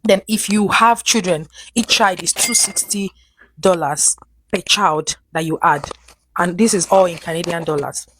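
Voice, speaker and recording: Measured woman listing costs plainly. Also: lowish voice, fairly bright tone, low-quality recording. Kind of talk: advice vlog. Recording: background noise.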